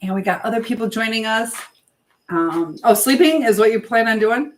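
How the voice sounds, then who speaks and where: A woman's voice: on the high side, normal speed, a little hiss or hum. Woman rambling through a casual livestream. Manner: rambling, trailing off, chatting while busy with kitchen work. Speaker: livestream host. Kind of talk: casual live chat, reading and answering viewer comments.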